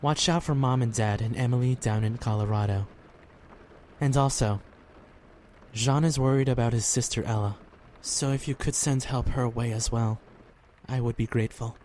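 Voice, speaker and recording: Man acting as earnest, gentle young man, noisy background. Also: mid-range voice, steady tone, normal speed, natural voice. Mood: prayerful, sincere. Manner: polite, sincere, hesitant. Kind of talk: fan audio drama acting. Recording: clearly noisy background ambience.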